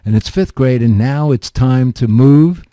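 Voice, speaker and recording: A man's voice: medium pitch, unhurried, warm tone, no room sound, studio quality. An older man hosting a radio interview, asking chatty, rambling questions full of asides. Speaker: radio show host.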